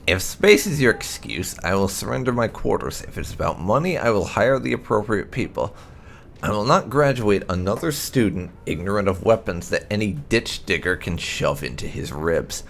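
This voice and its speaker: Low-voiced, expressive man reading game lore. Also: normal speed, gravelly, slight background noise. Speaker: gaming video host. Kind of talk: reading game lore entries aloud, scripted text with asides.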